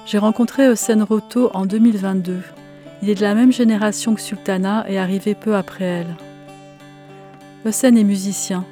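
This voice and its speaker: Woman narrating over soft guitar. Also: mid-range voice, steady tone, moderate pace. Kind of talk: documentary narration.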